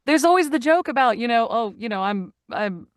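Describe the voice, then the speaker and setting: Animated fifties woman, dry sound. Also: a little high, fairly quick, no echo. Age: 50s. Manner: animated, emphatic, repeats phrases. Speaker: guest, actor and audiobook narrator. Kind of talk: casual interview conversation. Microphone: video call.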